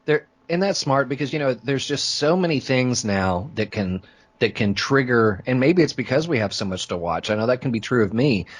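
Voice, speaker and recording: A man's voice: mid-range voice, animated, moderate pace. A man speaking as an interview guest in casual conversation, easygoing and agreeable. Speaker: interview guest, podcaster and writer.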